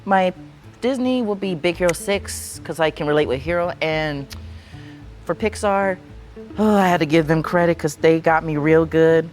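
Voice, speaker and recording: A woman speaking earnestly and deliberately in a group conversation. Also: deep voice, darkish tone, soft background music. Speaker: panel participant.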